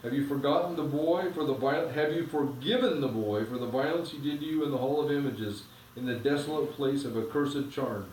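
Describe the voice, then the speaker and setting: A man reading aloud, voicing a majestic, kind male lion king in a grave, measured way. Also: mid-range voice, darkish tone, slight background noise, lightly acted. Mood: solemn. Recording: echoey room, from a distance.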